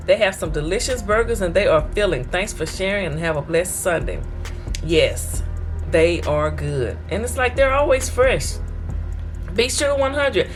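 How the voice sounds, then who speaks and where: A woman's voice: medium pitch, expressive, measured pace, faint music behind. A woman from Texas, hosting an eating show and reading viewer comments aloud in a chatty, folksy way. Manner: chatty, folksy, talks straight to her viewers.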